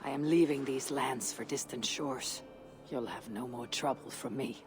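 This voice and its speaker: A woman playing a weary, proud Viking warrior in a video game, speaking in a husky, measured, dry way. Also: extremely low pitch, a little mellow. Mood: quiet, resolute. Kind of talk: dramatic video game acting. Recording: music under the voice.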